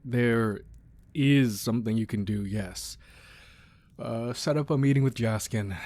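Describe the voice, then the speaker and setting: A man playing a weary, menacing crime boss with a tender side, in a controlled, deliberate ASMR roleplay delivery. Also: lowish voice, darkish tone, breathy edge. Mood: stern, menacing. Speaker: audio roleplay voice actor. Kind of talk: ASMR roleplay acting, close and dramatic.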